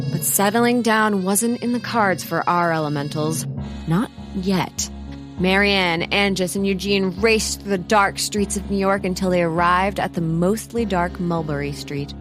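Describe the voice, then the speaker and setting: A woman's voice: fairly low pitch, natural voice. A woman playing the wry narrator of a comic mystery audio drama, reading scripted narration.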